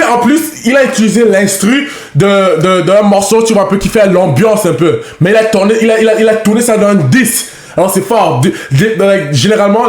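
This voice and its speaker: A man whose first language is English, reacting in casual French, halting and repeating words, with English fillers. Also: high voice, a little mellow, roomy sound. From Canada. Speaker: reaction streamer.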